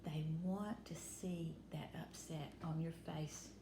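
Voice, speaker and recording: A woman reading a children's picture book aloud, dramatically, often dropping to hushed tones, with explanatory asides. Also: low-pitched, steady tone, slow. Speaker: story reader. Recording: roomy echo, faint background noise.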